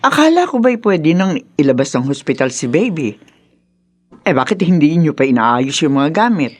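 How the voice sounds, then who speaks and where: A woman's voice: deep voice, sing-song, normal speed, faint music behind. An actress with a Filipino accent playing a caring but bossy, practical grandmother in a radio drama. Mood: impatient.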